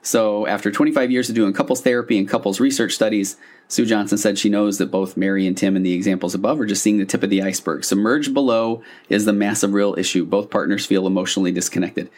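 A man's voice: lowish voice, fairly even intonation, fast, a little mellow. A man hosting a casual solo podcast as a couples therapist, talking in an earnest, conversational, slightly rambling way with self-corrections.

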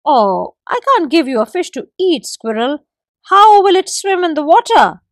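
A woman's voice: high voice, big pitch swings, measured pace, dry recording, studio quality, pitched above the performer's own voice. A woman voicing a clever, boastful male forest gecko in a children's story, stubbornly turning down every request. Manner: proud, stubborn refusals.

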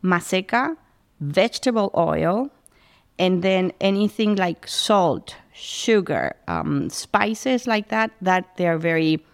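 A middle-aged woman from Spain, a food pantry founder chatting as a guest in a halting, restarting way. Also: on the low side, sing-song, vocal fry, clean tone. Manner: warm, halting, many restarts. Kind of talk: casual interview talk.

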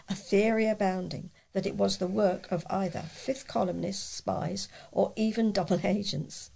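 A woman reads local news aloud in a measured way. Her voice is mid-pitched, heavily creaky and noticeably husky, as a volunteer reader presenting articles to listeners. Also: darkish tone, low-quality recording.

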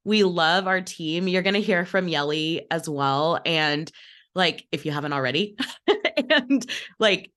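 A woman's voice: fairly low pitch, animated, measured pace, fairly bright tone. A bubbly, effusive woman chatting casually on a podcast, sounding a little under the weather with a cold. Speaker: podcast team member, operations manager. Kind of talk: casual behind-the-scenes podcast chat.

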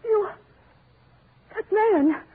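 High-voiced anxious wife, old radio. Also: female voice, very slow, heavily acted. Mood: terrified. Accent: American. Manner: plaintive, questioning, deferential. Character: timid, clinging wife, anxious and increasingly terrified. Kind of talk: 1940s radio horror acting. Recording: tinny, noisy 1940s radio recording.

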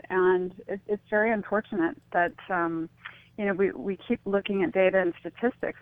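A woman talks as a radio interview guest over a thin, phone-like line with no room sound. Her medium-pitched voice is conversational and thoughtful, and she often searches for her words. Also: no room sound. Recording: tinny narrowband phone line.

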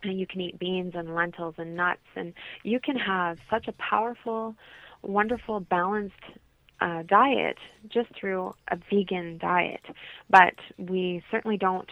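A woman's voice: lowish voice, fairly even intonation, unhurried, no room sound. A woman explaining things as an interview guest, heard over a telephone. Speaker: wellness consultant, radio guest. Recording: tinny narrowband phone line.

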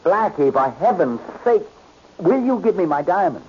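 A man's voice: high voice, big pitch swings, normal speed. An actor playing an anxious, earnest man in trouble, speaking with pleading urgency in 1940s radio drama style. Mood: desperate. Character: anxious, pleading man who gambled away valuables. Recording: muffled, noisy old radio recording.

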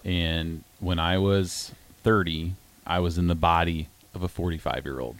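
Male co-host, 40s, low-pitched, earnest and chatty in a casual interview. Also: fairly even intonation, moderate pace.

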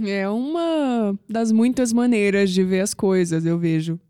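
A woman's voice: medium pitch, slow pace, mellow, clean tone, no room sound, studio quality, soft background music, lightly acted. A woman with a Brazilian Portuguese accent playing a skeptical, dryly sarcastic young woman in an audio drama. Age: young adult.

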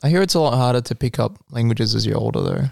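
A man's voice: medium pitch, fast, gravelly, a little mellow, no room sound, clean studio sound. A young man hosting a casual podcast, asking curious questions and laughing easily. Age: young adult. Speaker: podcast host.

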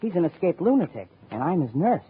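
An actor playing a crazy, earnest eccentric who confides absurd claims, in 1940s radio comedy style on an old recording. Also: male voice, on the high side, sing-song, fairly quick, narrow, tinny audio, slight background noise. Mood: manic. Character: crazy escaped lunatic posing as a nurse, eccentric.

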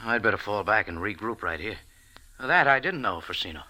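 An actor playing a tough, wry cafe owner turned sleuth in a 1940s radio drama, talking and narrating in a clipped, hard-boiled way. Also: male voice, on the low side, lively intonation, brisk, bright, forceful tone. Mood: probing, guarded.

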